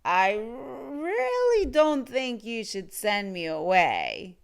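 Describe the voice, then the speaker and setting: A woman's voice: on the high side, wildly swooping pitch, gravelly, smooth, full character voice. A woman voicing a big, scruffy boy monster who slurps, whines and draws out words while trying to sound menacing. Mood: whiny, warning. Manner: slurping, drawn-out, whining.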